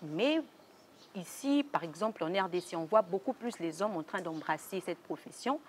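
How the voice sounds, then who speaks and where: A woman hosting a TV interview show in a studio, formal and polite, with some halting restarts. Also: fairly low pitch, animated, slight background noise. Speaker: talk show host.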